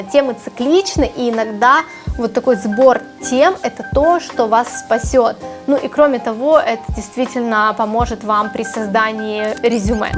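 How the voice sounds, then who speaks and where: A woman from Ukraine, a native Ukrainian speaker, giving articulate, emphatic career advice in an explanatory vlog as a journalist. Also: a little high.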